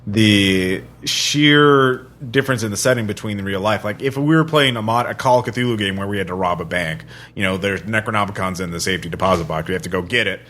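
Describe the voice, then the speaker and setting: A man's voice: fairly low pitch, fairly quick, hardly any pauses, a bit bright, slight background noise. A man hosting a casual chat, informal and conversational, thinking aloud as he talks. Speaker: podcast host. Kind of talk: casual chat, discussing and questioning.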